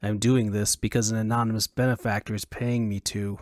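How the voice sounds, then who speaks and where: Man playing a blunt, dry, low-key adventurer. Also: level tone, brisk, darkish tone, no echo, close to an everyday voice. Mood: dry, impatient. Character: blunt, pragmatic hired adventurer with a musket. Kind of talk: audiobook character acting.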